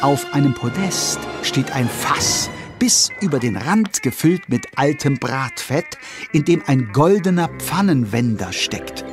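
Male narrator, children's radio play, mid-pitched, very animated, playful, music underneath. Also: a little slow. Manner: expressive, playful. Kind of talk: scripted storytelling narration for children's audio drama.

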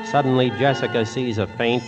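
A man's voice: mid-range voice, steady tone, normal speed. Male radio narrator over music. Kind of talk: scripted 1940s radio narration. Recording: muffled old radio broadcast.

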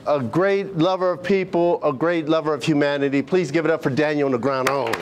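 High-voiced man hosting a room. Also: steady tone, brisk, a little hiss or hum. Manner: warm, joking with the crowd, stumbles on repeated words. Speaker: event host introducing the guest. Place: small meeting room with an audience.

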